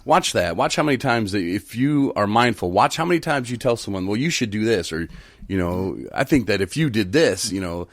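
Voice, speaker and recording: A man's voice: fairly low pitch, animated, a little fast, dry recording, studio quality. A man co-hosting a casual talk about recovery, giving advice and playfully teasing his friends. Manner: teasing, playfully mock-bitter storyteller. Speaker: podcast co-host.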